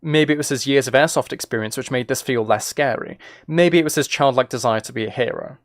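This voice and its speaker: A man's voice: medium pitch, brisk, dry recording, studio quality. A man giving a dramatic scripted audiobook-style narration as an author reading a story. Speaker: author and streamer reading own book.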